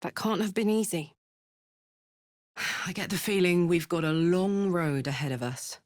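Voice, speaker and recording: A woman acts out a tough tiefling warrior woman from a fantasy video game. She is brash and slangy but warm-hearted and sincere, and she delivers her lines at an unhurried pace. The sound is dry, with no room around it. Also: fairly low pitch, no echo, close to an everyday voice. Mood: sincere, easygoing. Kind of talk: video game character voice acting.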